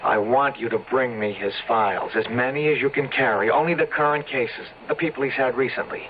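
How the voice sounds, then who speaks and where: Actor playing scheming, insistent man, mystery radio serial; mid-pitched, muffled with faint noise. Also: fairly quick, close to an everyday voice. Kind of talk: old-time radio mystery acting. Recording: dull old radio recording, faint noise.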